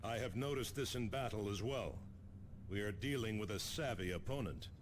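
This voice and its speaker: An actor playing a stern, battle-hardened force commander in a video game, wary and terse. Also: male voice, on the low side, normal speed, slight background noise, lightly acted.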